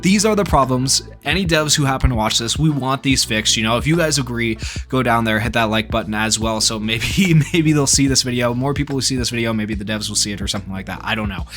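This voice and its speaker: A man's voice: medium pitch, fast talker, a bit bright. A man speaking off the cuff as a gaming YouTuber, giving informal, rambling commentary on a game. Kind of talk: casual video commentary on a game. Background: background music.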